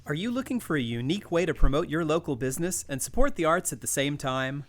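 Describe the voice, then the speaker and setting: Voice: medium pitch, expressive, fast talker. An announcer reading a scripted promo for a radio advertising spot.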